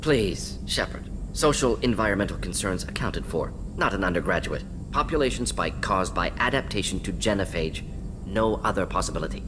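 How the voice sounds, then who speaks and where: A clipped, swooping alien scientist. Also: male voice, low-pitched, expressive, normal speed, background noise. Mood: firm, defensive. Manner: clipped telegraphic sentences, dropping small words. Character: brisk, self-assured male alien scientist defending grim work. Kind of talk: video game dialogue.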